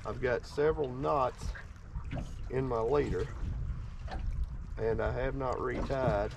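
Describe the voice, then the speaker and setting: A young man talks his way through a day of fishing, casual and folksy, chatting to himself and his viewers. His voice sits at an ordinary middle pitch. He is picked up by a camera mounted on the boat several feet away, with loud wind and boat noise around him. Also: steady tone, slow, gravelly, recorded from a distance. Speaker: fishing vlogger. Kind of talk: casual running commentary while fishing. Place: open fishing boat on a windy lake, outdoors.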